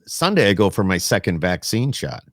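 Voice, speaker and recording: A man's voice: low voice, sing-song, moderate pace, dry recording, studio quality. A man chatting casually as the host of a podcast interview.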